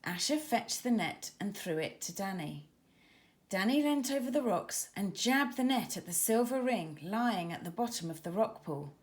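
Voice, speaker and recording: A woman children's author reading her storybook aloud with expressive, dramatic emphasis for young listeners. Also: mid-range voice, moderate pace.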